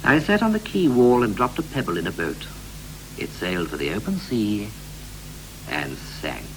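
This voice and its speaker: An actor playing a wistful married father who looks back on family life in measured, poetic lines of a 1960s radio drama. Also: lowish voice, sing-song. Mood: nostalgic. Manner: measured, poetic, reflective delivery. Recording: noisy, humming 1960s radio recording.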